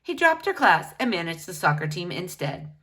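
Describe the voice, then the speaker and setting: A woman reading a biography aloud for children in an expressive, teacherly way. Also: fairly low pitch, moderate pace, breathy edge. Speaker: children's book reader.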